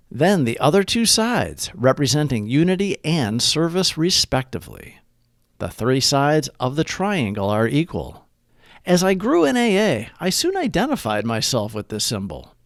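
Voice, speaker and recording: Man reading aloud, high-pitched and very dry-sounding. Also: no echo. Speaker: narrator of a daily recovery reading. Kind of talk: scripted read-aloud narration.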